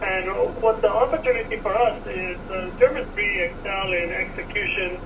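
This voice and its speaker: A man from Miami with an American accent, answering interview questions thoughtfully with many pauses and fillers. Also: sits high, fairly even intonation. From Miami, USA. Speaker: car design director guest. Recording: distant, dull, noisy radio audio.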